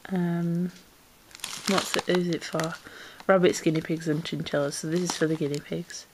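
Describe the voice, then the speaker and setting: Chatty woman with a low voice, bit bunged up from a cold, going through a box at home, faint hiss. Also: little pitch movement, normal speed. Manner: chatty, self-deprecating, rambling with ums. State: slightly congested with a cold. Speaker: pet vlogger. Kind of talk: casual unboxing chat. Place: indoors at home, camera pointed down into a box.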